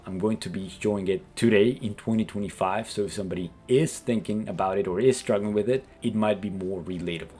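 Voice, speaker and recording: A man's voice: deep voice, darkish tone. Man with a South American accent. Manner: earnest, explanatory, lots of "like" and "kinda". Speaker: YouTube creator and business coach. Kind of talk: casual talking-head vlog.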